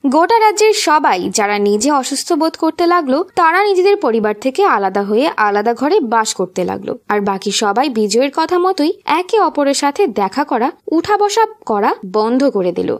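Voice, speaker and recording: A woman narrating a scripted children's fairy tale in an expressive storytelling manner. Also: sits high.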